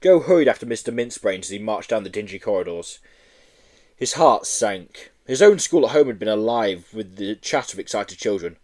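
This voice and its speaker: A man reading a children's story aloud as an expressive audiobook narrator. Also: fairly low pitch, moderate pace. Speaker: audiobook reader.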